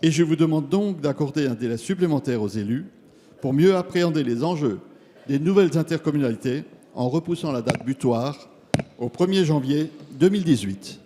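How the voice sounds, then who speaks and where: A man giving a formal, measured parliamentary speech, reading out a prepared question as a member of parliament. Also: on the high side, expressive, dark tone. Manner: measured, formal, read-out delivery. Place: large parliament chamber. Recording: long reverb of a large hall.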